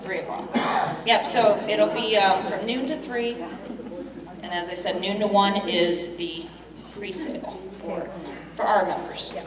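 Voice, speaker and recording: A woman's voice: medium pitch, measured pace. A woman running a club business meeting, casual with many fillers. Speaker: meeting host. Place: meeting hall. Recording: echoey hall, distant mic, crowd.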